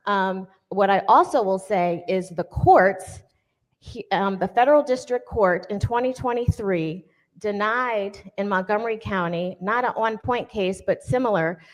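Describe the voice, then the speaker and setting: A woman giving formal hearing testimony, measured and pausing with small fillers. Her voice is mid-pitched. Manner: measured, hesitant. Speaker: hearing witness.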